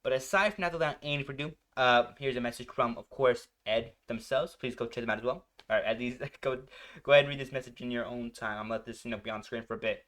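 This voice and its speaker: A man's voice: medium pitch, quick pace, a bit bright. A man giving casual, gushing reaction commentary as a video host, rambling and often repeating himself. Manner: gushing, repetitive, rambling enthusiasm.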